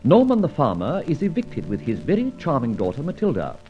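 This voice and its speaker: A man playing a suave, wry gentleman adventurer in an old radio drama, urbane and wordy, with a drily witty manner. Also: mid-range voice, wildly swooping pitch, a little fast, faint music behind. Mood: wry, narrating. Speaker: narrator and lead actor. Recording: dull old radio recording.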